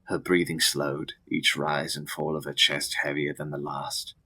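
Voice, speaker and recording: A man with a deep, husky voice giving a dramatic scripted narration of a gothic story. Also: little pitch movement, unhurried, sharp tone.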